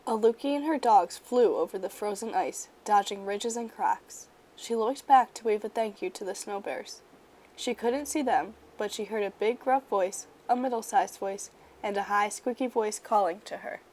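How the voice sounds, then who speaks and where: Woman doing a kids book read-aloud, expressive storyteller, measured, medium pitch.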